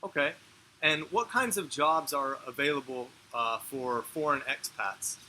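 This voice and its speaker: A man's voice: medium pitch, a little slow, bright, forceful tone, a little hiss or hum, low-quality recording. A man hosting an interview, asking short questions and giving brief acknowledgements. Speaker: interviewer, channel host.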